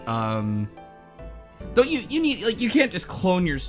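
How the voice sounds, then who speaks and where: A woman's voice: fairly low pitch, wildly swooping pitch, unhurried, soft background music. A woman co-hosting a casual podcast, tossing in wry asides and groping for her words. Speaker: podcast co-host. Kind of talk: casual joking chat.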